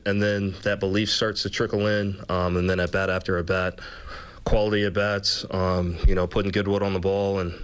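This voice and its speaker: A man in his thirties, a baseball player answering questions at a postgame press conference in a relaxed, thoughtful way. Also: fairly low pitch, clean tone. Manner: relaxed, many fillers, thoughtful. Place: press room with team backdrop.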